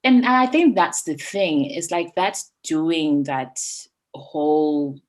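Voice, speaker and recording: Low-voiced woman podcast co-host. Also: slow. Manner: thoughtful, fills with "you know" and "like", frequent "mm" agreement. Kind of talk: casual chat.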